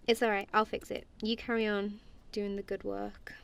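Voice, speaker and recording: A woman's voice: mid-range voice, little pitch movement, moderate pace, vocal fry, fairly bright tone, clean tone. A woman doing a friendly, goofy ASMR roleplay as casual one-sided chat, playful and giggly, with a self-deprecating, apologetic manner.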